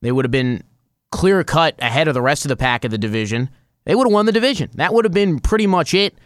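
A man's voice: a little high, lively intonation, fast, no echo, studio quality. An American man co-hosting a sports talk show, discussing football. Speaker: sports podcast co-host.

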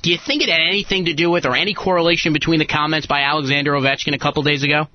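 A man's voice: fairly high pitch, fairly even intonation, racing, dry recording, lo-fi sound. A man co-hosting a sports show and asking a guest questions.

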